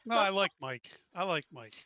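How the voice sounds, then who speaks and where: Bright, higher-pitched man at a tabletop game. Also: animated, moderate pace, no echo, lo-fi sound. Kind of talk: casual chat.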